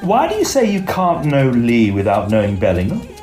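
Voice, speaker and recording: A man's voice: mid-range voice, wildly swooping pitch, normal speed, a little mellow, soft background music. A man playing a genial, studious young Oxford student in a Victorian audio drama, with a British accent and polite, clipped period speech. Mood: dry, curious. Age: young adult.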